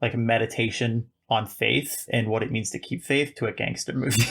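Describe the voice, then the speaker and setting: A man with a gravelly, creaky voice talks about movies in a loose, rambling way, circling back and restarting his thoughts. He sounds like a podcast host in casual conversation, at an ordinary middle pitch. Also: steady tone, moderate pace, a bit bright. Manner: chatty, rambling, frequent "like" and restarts. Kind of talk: casual film discussion.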